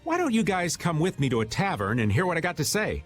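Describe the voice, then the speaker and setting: A sly older American rogue from an anime video game, folksy and teasing. His pitch swings wildly and theatrically from line to line, with music underneath. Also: big pitch swings, quick pace. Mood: wheedling. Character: sly, jokey, slouching older rogue of a man.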